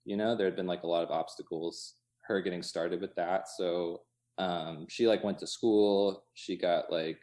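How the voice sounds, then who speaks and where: A man talking casually as an interview guest, in an informal, halting way full of hedging asides. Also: deep voice, fairly even intonation, a little mellow. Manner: informal, halting, many hedging asides, chuckles through speech. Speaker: interview guest, coffee shop co-owner. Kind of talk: casual chat. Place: inside his cafe.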